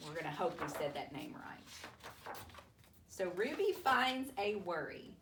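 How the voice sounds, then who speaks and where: A woman reading a children's storybook aloud to families, with expressive, deliberate delivery and drawn-out emphasis. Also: lowish voice. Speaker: school superintendent reading a picture book aloud to families. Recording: roomy, distant mic with faint noise.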